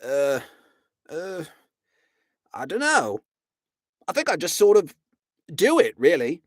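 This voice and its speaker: A man voicing a huge, dim young male alien guard who shouts a stock phrase in loud barks and turns slow and puzzled when questioned. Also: fairly high pitch, huge pitch swings, no room sound, studio quality, voice pushed up, full character voice.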